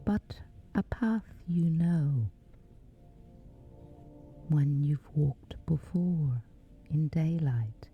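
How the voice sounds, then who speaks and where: A woman guiding a sleep meditation in a hushed, breathy near-whisper, pausing between short phrases. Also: deep voice, highs cut off. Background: background music.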